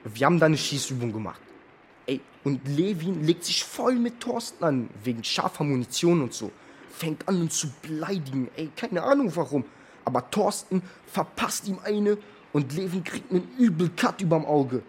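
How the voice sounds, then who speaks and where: A man's voice: a little high, lively intonation, moderate pace, slight background noise, lightly acted. An actor playing a defensive young friend of a missing boy, slangy and blurting, in a naturalistic radio drama. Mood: defensive, agitated.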